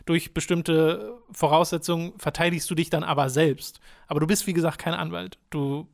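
A man's voice: fairly high pitch, steady tone, moderate pace, vocal fry. A young man chatting casually as a podcast co-host, explaining at length with frequent hesitation fillers. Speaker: podcast co-host reviewing games. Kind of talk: casual chat.

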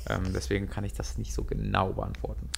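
A young man talking about anime and video games in a casual podcast chat, animated and rambling with many restarts. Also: sits low, brisk, gravelly, breathy edge. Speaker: podcast co-host.

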